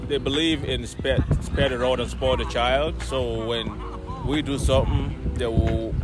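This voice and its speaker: A man in his forties, a parent, answering street interview questions in a park, explaining plainly with some restarts. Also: mid-range voice. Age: 40s. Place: outdoors in a park. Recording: strong wind noise outdoors.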